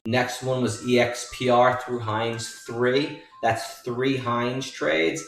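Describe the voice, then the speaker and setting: A man's voice: mid-range voice, little pitch movement, slow, vocal fry, fairly bright tone. A man giving an informal stock chart walkthrough as a trading educator, emphatic and repetitive in how he makes his points. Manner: emphatic, repetitive, addressing viewers as folks. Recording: roomy echo, distant mic, faint music.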